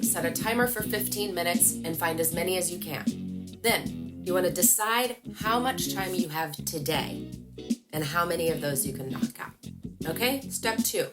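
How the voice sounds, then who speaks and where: A woman in her thirties with a twang talks straight to camera in a small home room, lively and chatty as she walks through practical tips. Her voice sits at a middle pitch. Music plays clearly underneath her. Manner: lively, chatty, animated hand gestures. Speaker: voice-over coach. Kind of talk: casual tutorial to camera.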